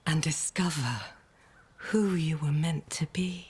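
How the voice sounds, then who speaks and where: A woman playing a solemn, commanding, darkly seductive demon queen in a video game, with a breathy, whispery delivery. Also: low voice, darkish tone, exaggerated voice. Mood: solemn, ominous, seductive. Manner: slow, solemn, portentous delivery. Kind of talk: video game villain voice lines.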